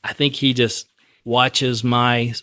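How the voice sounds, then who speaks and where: A man's voice: medium pitch, level tone, slow, bright, forceful tone, no room sound. A man hosting a podcast in casual chat, self-deprecating and joking, often laughing. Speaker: podcast host.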